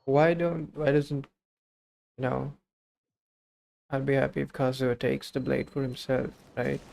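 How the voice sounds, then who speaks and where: A man's voice: medium pitch, level tone, unhurried, vocal fry, darkish tone. A man streaming a video game, giving casual, slangy live commentary in a laid-back way. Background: game music.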